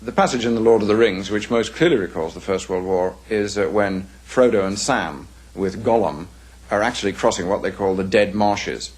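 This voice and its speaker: Low, husky Englishman explaining deliberately. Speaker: literary scholar and commentator. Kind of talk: unscripted documentary interview commentary.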